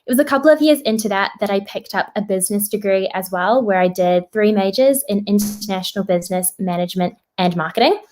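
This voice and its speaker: A woman's voice: medium pitch, fast talker, hardly any pauses, darkish tone, slight background noise. A woman in her twenties with a New Zealand accent, talking upbeat and chatty about her work at a virtual careers session. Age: 20s. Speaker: digital strategist presenter.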